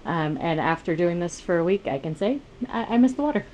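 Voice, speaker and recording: A woman's voice: lowish voice, expressive, brisk, darkish tone, slight background noise, low-quality recording. A woman co-hosting a travel vlog, talking in a friendly, enthusiastic way while touring an open-air cruise ship deck. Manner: friendly, enthusiastic, frequent agreeing murmurs. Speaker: travel vlogger co-host. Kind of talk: casual walking tour narration.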